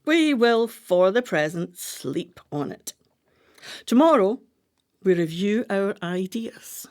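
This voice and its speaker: A woman's voice: mid-range voice, expressive, slow pace. A woman playing a scheming, imperious, artistic retired wife in a radio play, with dramatic, emphatic delivery. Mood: brisk, teasing.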